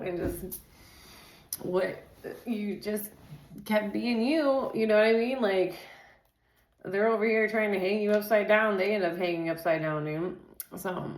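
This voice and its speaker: Chatty woman reading tarot, echoey room. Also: mid-range voice. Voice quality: says she has a naturally loud voice. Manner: chatty, loose, filler-heavy, says "dude" and "like" constantly. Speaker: tarot reader. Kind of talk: casual tarot reading and rambling chat.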